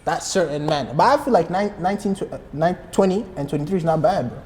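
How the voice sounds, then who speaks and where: A man's voice: fairly high pitch, animated, fast, a little mellow. A man in his early twenties in a casual group debate, using slang and repeating himself to press his point. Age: early 20s. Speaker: podcast co-host. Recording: echoey hall-like reverb.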